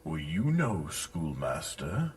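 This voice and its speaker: A jealous brooding bully in a radio play, very raspy, slow n menacing, swings up and down. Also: male voice, extremely low pitch, wildly swooping pitch, distant mic, exaggerated voice. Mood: ominous. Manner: taunting, ominous. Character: brooding, jealous village bully and ghost-story teller. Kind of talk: theatrical audio drama acting.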